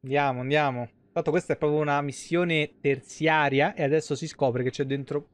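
A man's voice: on the high side, steady tone, moderate pace, dry recording. A man, giving casual live game commentary full of slang and swearing. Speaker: game streamer. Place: indoor room at home, streaming on webcam.

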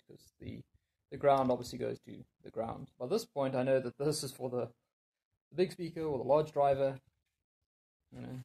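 A man with a gravelly, creaky voice talks through a hands-on job in a casual way. His tone is dark and mellow with a husky edge, and he mutters half to himself as he works. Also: mid-range voice. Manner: muttering, thinking aloud while working. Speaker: DIY video maker. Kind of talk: casual hands-on tutorial commentary. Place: indoors in a home room.

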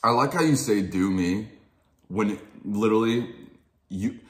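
A young man streaming from his living room, chatting casually and teasing his co-host. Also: a little slow. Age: young adult. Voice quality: deep voice. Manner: teasing, playful, says "dude" often. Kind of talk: casual chat.

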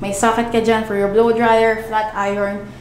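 A woman's voice: mid-range voice, level tone, moderate pace. A young woman with a Filipino accent, chattily and enthusiastically presenting a house tour, switching between two languages. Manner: chatty, enthusiastic, mixes Tagalog and English. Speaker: property tour host. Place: empty rooms of a house. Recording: echoey empty rooms, slight background noise.

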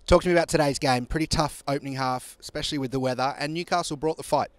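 A man interviewing a player pitchside after a match, asking brisk, direct questions. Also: fairly even intonation. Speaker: club interviewer. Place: outdoor stadium pitchside at night. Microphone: handheld mic.

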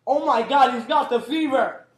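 A man's voice: extremely high pitch, moderate pace, fairly bright tone. A young man playing a simple, suspicious, literal-minded villager in a comic stage play, blunt and rustic in his phrasing. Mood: alarmed. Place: theatre stage, indoors. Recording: roomy, echoey stage recording.

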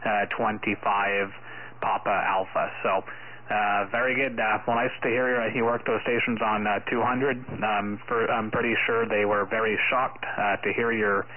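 A man's voice: on the low side, little pitch movement, normal speed. Man on ham radio, thin band-limited audio. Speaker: amateur radio operator. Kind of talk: casual ham radio contact. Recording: muffled phone-like radio audio.

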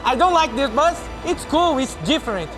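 A man from Brazil with a Brazilian Portuguese accent and Portuguese as his first language. He speaks halting, earnest English as a robot competitor giving a casual interview. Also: very high voice, music in the background, low-quality recording. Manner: halting non-native English, earnest and excited.

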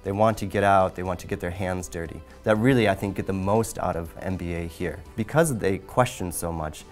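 A man's voice: sits low, fairly quick, faint music behind. A man in his thirties, a lecturer, speaking thoughtfully in a promotional interview in a classroom. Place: indoor classroom.

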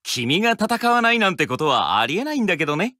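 An actor playing a breezy, supremely self-assured young sorcerer teacher in an anime game, cocky and nonchalant. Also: male voice, high voice, big pitch swings, fast talker, over loud music. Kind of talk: Japanese anime game voice acting.

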